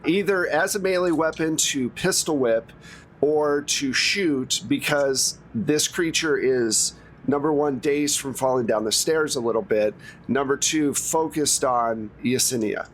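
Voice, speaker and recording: Male game master, mid-pitched and informal, narrating tabletop play over faint noise and rumble. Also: unhurried, poor audio. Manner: conversational, informal.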